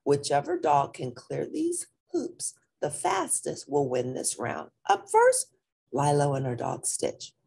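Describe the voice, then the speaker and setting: Friendly male announcer acted by a woman, showy, storybook contest host, animated intonation, husky. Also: low voice, slow pace, full character voice. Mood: upbeat.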